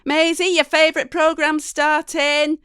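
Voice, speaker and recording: Storybook mum played by a woman, middle-aged, brisk, calling out loudly at a raised, high pitch. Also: flat delivery, no echo, studio quality. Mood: insistent calling. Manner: calling out, insistent.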